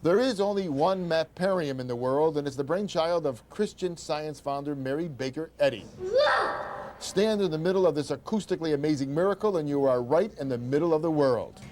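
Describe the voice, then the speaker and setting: A man's voice: fairly high pitch, brisk, mellow. An older man hosting a travel show, giving upbeat, conversational tour-guide narration.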